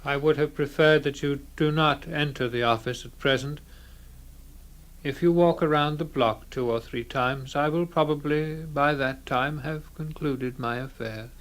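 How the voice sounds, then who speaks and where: Withdrawn young clerk, polite, calm, flat refusals, slightly raised voice. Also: male voice, fairly high pitch, unhurried. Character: young male scrivener, passive, withdrawn, stubbornly polite. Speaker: law copyist. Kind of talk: 1960s radio drama acting.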